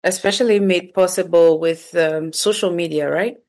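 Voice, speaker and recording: A woman's voice: on the low side, steady tone, moderate pace. Effusive woman hosting a podcast. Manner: warm, effusive welcomes. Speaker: podcast host. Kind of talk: podcast interview.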